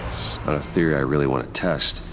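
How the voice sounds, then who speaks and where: Grim vigilante detective, extremely low pitch, measured, terse, serious, video game drama, noisy background. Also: male voice, steady tone. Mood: determined. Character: grave, determined adult male vigilante detective, low and controlled. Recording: clearly noisy game audio.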